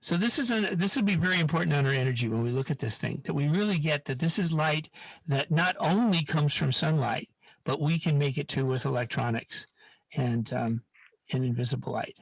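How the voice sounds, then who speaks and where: Creaky-voiced man teaching science informally. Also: a little high, brisk, no room sound, poor audio. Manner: repetitive, emphatic, often checking with "okay?". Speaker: science educator leading a demonstration. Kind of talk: live online demonstration, informal teaching.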